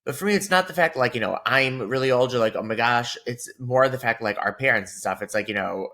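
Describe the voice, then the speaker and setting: Bright-voiced man rambling in casual chat. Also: medium pitch, a little fast. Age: 30s. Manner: rambling, heavy on filler words. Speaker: podcast co-host.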